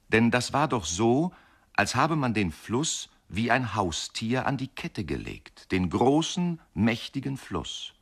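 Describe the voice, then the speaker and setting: Radio-play narrator: reflective man, low register, measured and expressive literary storytelling. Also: breathy edge, full character voice. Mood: puzzled, wondering. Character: reflective male narrator recalling a riverside boyhood.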